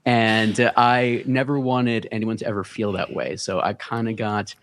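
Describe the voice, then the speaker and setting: New guy in his 30s, easygoing, lots of uhs, just chatting on a podcast. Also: on the low side. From the Bronx, New York. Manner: relaxed storytelling with frequent "uh" pauses. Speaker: podcast guest, content creator. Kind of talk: casual interview talk.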